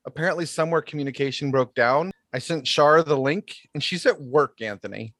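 A man's voice: a little high, fairly quick, a bit bright, dry recording, clean studio sound. A man speaking casually as a co-host on a podcast. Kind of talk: casual chat.

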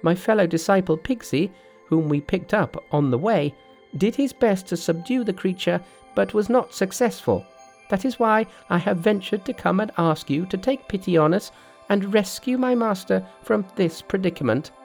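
A man voicing a cocky, quick-witted monkey hero in a storybook reading, assured and decisive. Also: sits high, darkish tone, soft background music, voice pushed up. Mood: earnest. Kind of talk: storybook narration with character voices.

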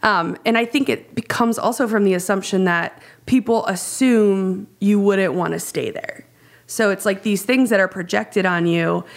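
A woman's voice: medium pitch, moderate pace. Woman talking reflectively in casual discussion.

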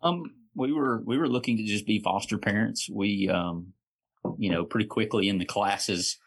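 A man's voice: on the low side, normal speed, breathy edge. A man with a Southern American accent, chatting casually as a guest on a podcast. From Southern United States. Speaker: podcast guest, foster and adoptive father. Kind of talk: casual chat.

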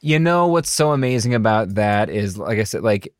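A man hosts a podcast, with a lowish voice recorded close and dry. He moves from a scripted intro into easygoing chat. He pokes fun at himself and laughs easily. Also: lively intonation, normal speed, no echo. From United States. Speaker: podcast host. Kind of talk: scripted intro then casual interview chat.

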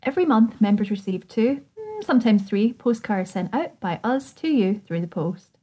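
A woman's voice: fairly high pitch, big pitch swings, normal speed, warm tone, breathy edge. A woman co-hosting a casual podcast, reading promo copy with a wry, teasing manner. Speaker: podcast co-host.

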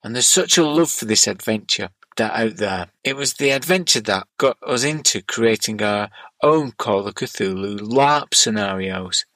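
A man's voice: on the low side, expressive, measured pace, sharp tone, no room sound. A middle-aged man reading scripted narration and listener letters aloud as a podcast host.

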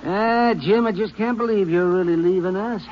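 An actor playing a friendly, chatty small-town customer, in 1950s radio drama acting. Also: male voice, sits high, moderate pace, a little hiss or hum, close to an everyday voice. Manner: chatty, chuckling, folksy. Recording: lo-fi old radio broadcast.

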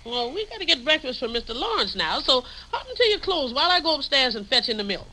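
Bright, high-pitched bossy housekeeper. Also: female voice, sing-song, fast, heavily acted, poor audio. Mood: bossy, nagging. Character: brisk, bossy working-class housekeeper rousing her sleepy man. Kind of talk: 1950s radio drama acting. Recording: old radio recording, faint noise.

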